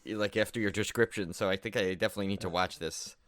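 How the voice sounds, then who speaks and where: A man's voice: deep voice, steady tone, fast talker, a bit bright, no echo, studio quality. An American man co-hosting a podcast in casual chat, rambling enthusiastically and often circling back on himself. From New York area, United States. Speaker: podcast co-host. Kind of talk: casual chat about horror movies.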